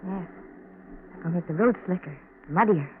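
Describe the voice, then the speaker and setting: A woman's voice: lowish voice, normal speed, natural voice. An actress playing a bitter, scheming, ambitious young woman in a 1940s radio drama, cutting and impatient. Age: young adult. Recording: scratchy, tinny 1940s radio recording.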